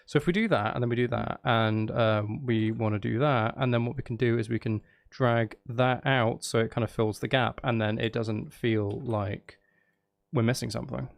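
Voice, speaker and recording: A man's voice: mid-range voice, fairly even intonation, normal speed, vocal fry. A man chatting casually on a live stream, thinking aloud as he works through a task, repeating phrases and questioning himself. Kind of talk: casual live stream chat while editing music.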